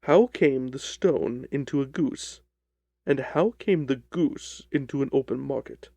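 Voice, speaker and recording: A stern gentleman detective, read by a male narrator, commanding and deliberate. The voice is mellow with some gravel, accusing in tone, in a dry storybook recording. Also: mid-range voice, no echo. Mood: firm questioning.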